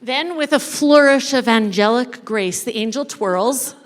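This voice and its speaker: A woman giving a playful, dramatic live narration of a story, pausing deliberately as she leads an interactive reading. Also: fairly high pitch. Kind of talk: theatrical live scripted narration of a parable. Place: large indoor church space before an audience. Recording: echoey hall with long reverb.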